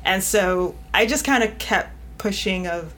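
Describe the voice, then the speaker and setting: A woman's voice: medium pitch, unhurried, fairly bright tone. A woman from New York, a guest editor talking directly in a casual podcast chat and laughing often as she speaks.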